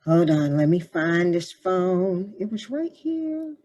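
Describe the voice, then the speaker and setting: A woman directs a cast in casual, practical talk. Her voice sits on the lower side, and she gives instructions in an offhand, conversational way. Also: big pitch swings, takes it slowly, warm tone. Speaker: rehearsal or recording director.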